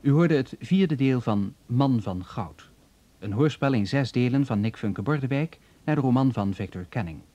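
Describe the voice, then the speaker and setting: A man's voice: mid-range voice, expressive, normal speed, mellow, highs cut off. A man working as a radio announcer, reading scripted credits in a formal style.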